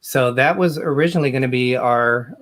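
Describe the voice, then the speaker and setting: A man's voice: medium pitch, a little fast, a bit bright. A man born in England, a travel channel creator, talking casually with fillers on a live video call. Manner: easygoing, rambling with fillers.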